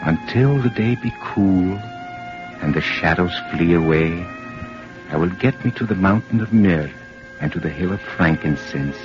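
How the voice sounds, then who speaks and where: An actor playing a solemn, adoring reciter of love verse, declaiming slowly in old-time radio style over music. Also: male voice, low-pitched, animated. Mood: solemn adoration. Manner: slow, declamatory. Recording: dull vintage radio recording, loud music. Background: loud music.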